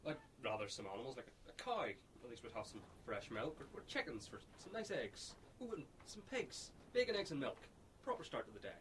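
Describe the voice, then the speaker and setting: Man acting as nervous, stammering, lively young survivor. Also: mid-range voice, a little fast, a little hiss or hum. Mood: wistful. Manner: hesitant, stammering repeats. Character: Liam, nervous daydreaming young male survivor. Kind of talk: amateur radio drama acting.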